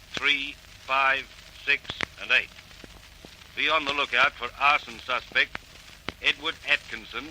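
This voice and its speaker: An actor playing a formal, clipped police radio dispatcher reading out a bulletin in a drama. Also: male voice, mid-range voice, bright, forceful tone, slight background noise, poor audio.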